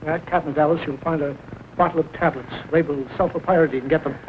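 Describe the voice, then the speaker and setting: An actor playing a grave small-town doctor who gives short, measured orders in 1940s film style. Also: male voice, fairly high pitch, fairly even intonation, close to an everyday voice. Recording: narrow tinny old film soundtrack, hiss.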